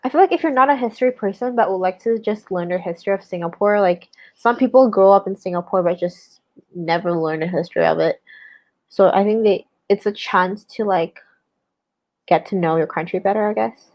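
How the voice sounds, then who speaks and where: A woman's voice: mid-range voice, a little fast, darkish tone. A young woman from Malaysia hosting a casual book discussion, thinking aloud with many restarts and hesitations. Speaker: book club host. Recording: slightly dull lo-fi sound.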